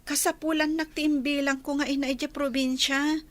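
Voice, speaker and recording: High-voiced, earnest young daughter played by a woman. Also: little pitch movement, moderate pace, lightly acted. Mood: pleading. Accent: Ilocano. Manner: earnest, pleading, respectful to elders. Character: devoted, anxious young daughter pleading to nurse her sick mother. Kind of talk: Ilocano radio drama acting.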